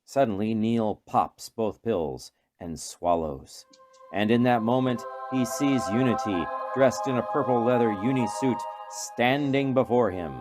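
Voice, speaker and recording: A man's voice: medium pitch, unhurried, music in the background. A man reading scripted narration for a radio play in a dramatic storytelling manner.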